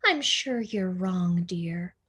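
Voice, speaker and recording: A woman voicing a timid, sweet, excitable younger sister in a children's storybook. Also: slow, husky, heavily acted. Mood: gentle pleading. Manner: meek, high-pitched, easily alarmed.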